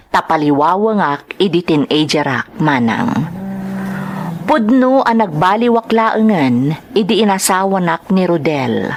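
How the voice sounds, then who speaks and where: A woman's voice: fairly low pitch, slight background noise. Woman as a reflective Ilocano wife telling her story. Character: Lorica narrating her past, reflective troubled wife. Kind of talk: dramatized first-person letter narration.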